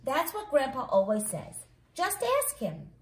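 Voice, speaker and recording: A woman's voice: sits high, wildly swooping pitch, measured pace, pitched above the performer's own voice, full character voice. A woman voicing an earnest, eager young granddaughter in a storybook, emphatic and pleading, in an echoey room. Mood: insistent.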